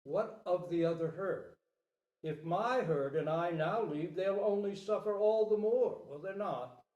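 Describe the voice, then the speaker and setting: A man voicing a noble, selfless deer king in a storybook parable, grave, measured and resolute. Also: high voice, a little mellow, muffled recording. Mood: earnest pleading. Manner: solemn, measured, pleading with dignity. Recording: roomy, echoing live recording.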